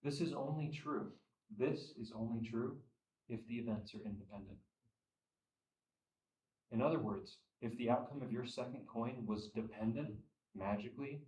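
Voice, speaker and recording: A young man teaching statistics at a classroom whiteboard, losing his voice and clearing his throat, repeating phrases and checking understanding. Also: medium pitch, moderate pace, darkish tone. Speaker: statistics instructor. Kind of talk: classroom lecture. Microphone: far camera. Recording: echoey room, from far off.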